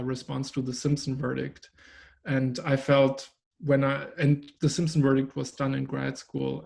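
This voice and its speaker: A man whose first language is German, an artist speaking thoughtfully in an interview, pausing often with fillers. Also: mid-range voice, vocal fry, darkish tone. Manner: thoughtful, hesitant with many fillers. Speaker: artist guest. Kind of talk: conversational interview.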